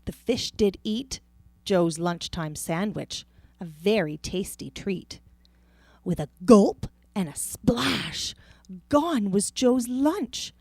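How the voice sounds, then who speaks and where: A woman reading a rhyming children's picture book aloud in a theatrical, deliberate way. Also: medium pitch, a little mellow, no echo. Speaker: storybook reader.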